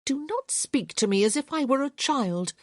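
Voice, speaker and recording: A woman voicing a haughty young noblewoman, husky. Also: mid-range voice, expressive, normal speed, a little mellow, dry recording, studio quality, pitched above the performer's own voice. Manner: haughty, clipped and cutting. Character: proud, sharp-tongued young noblewoman. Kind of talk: audiobook character voice.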